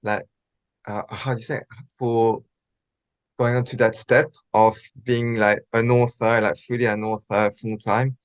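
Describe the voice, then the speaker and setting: A man's voice: medium pitch, flat delivery, measured pace. A man hosting an interview, speaking in a friendly way with many fillers and restarts. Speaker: interview host. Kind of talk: casual interview chat.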